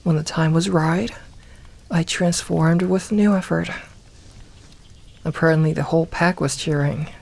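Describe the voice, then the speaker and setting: A woman voicing a gentle, comforting young werewolf in a soft ASMR roleplay, talking intimately and reassuringly to one listener with light humour. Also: sits low, steady tone, a little slow, gravelly, pauses often, exaggerated voice. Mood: fond, reflective. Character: gentle, comforting young female werewolf, playful self-styled lone wolf.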